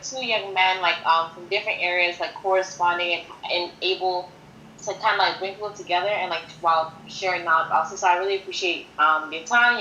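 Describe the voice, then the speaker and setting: A woman in her twenties gives an earnest, conversational account of herself as an interview guest. Her voice is slightly low and very bright, and she restates phrases to drive them home. The room echoes around her, with faint noise behind. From born in Haiti, grew up in New York City from age five. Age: 20s. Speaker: podcast guest. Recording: roomy echo with faint background noise.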